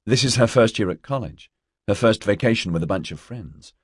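Man playing a confident investigator, husky and lively. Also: on the low side, fairly quick, no room sound, clean studio sound, voice pushed up. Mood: teasing, debating. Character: male investigator, confident, teasing yet polite.

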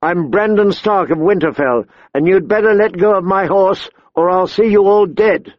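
A man's voice: sits high, normal speed, no room sound, dull audio, pitched above the performer's own voice, close to an everyday voice. A man voicing a young noble boy, frightened but proud and defiant, in an audiobook story. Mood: angry defiance.

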